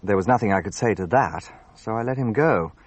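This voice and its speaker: An actor playing an earnest, easily puzzled gentleman, the detective's companion, in a radio drama, with an eager manner. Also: on the low side, animated, fairly quick. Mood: narrating, rueful. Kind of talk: radio drama acting and first-person narration.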